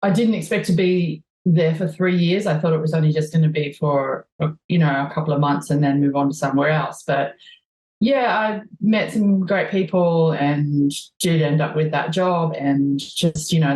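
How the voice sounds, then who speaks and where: A woman's voice: low voice, normal speed, darkish tone, reverberant, rough recording. A woman with a broad Australian accent, chatting as a guest and telling rambling stories full of ums. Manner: rambling storyteller, laughs easily, many ums. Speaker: guest musician. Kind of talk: casual conversation.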